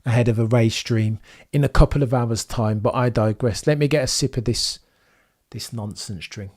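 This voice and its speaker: A man's voice: medium pitch, moderate pace, a little mellow. A young man commentating on a live stream and reading chat. He is losing his voice, and he talks in opinionated, rambling runs full of tag questions. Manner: opinionated, rambling, frequent "right?" tags. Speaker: Formula 1 streamer.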